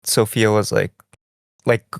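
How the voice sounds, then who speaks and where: A young man co-hosting a podcast in casual chat, rambling in a relaxed way and saying "like" constantly, lying in bed in a small bedroom. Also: on the low side, takes it slowly, creaky, no room sound, studio quality. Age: young adult. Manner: relaxed, rambling, heavy use of "like". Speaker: podcast co-host.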